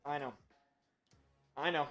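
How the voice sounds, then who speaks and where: Fan play-by-play man, high voice, hoarse from screaming, hushed strain between shouted big plays, streaming from a desk mic. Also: fairly even intonation, slow pace, faint music behind. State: losing his voice from screaming. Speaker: watch party play-by-play host. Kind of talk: excited fan play-by-play on a livestream.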